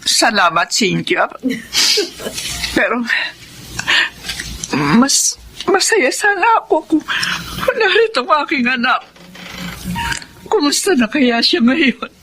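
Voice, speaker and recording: A woman's voice: sits high, sing-song, slow, pauses often, bright, forceful tone, a little hiss or hum, exaggerated voice. An actress playing a loving, forgiving mother with a tender, emotional manner in a Filipino radio drama. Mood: wistful. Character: loving, forgiving mother reunited with her daughter. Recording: music behind the voice.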